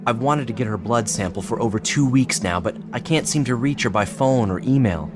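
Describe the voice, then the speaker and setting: An actor playing an earnest, persuasive psychiatrist in an audio drama, explaining in a measured, solemn way. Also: male voice, mid-range voice, close to an everyday voice. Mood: urgent, pleading. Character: earnest, persuasive male psychiatrist pressing his case. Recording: clearly noisy background ambience.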